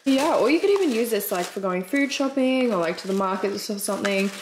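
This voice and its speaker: A woman's voice: medium pitch, animated, fairly quick, background noise. A woman in her twenties with an Australian accent, chatting casually and gushingly in a vlog style. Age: 20s. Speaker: vlogger. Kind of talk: casual vlog chat, unboxing.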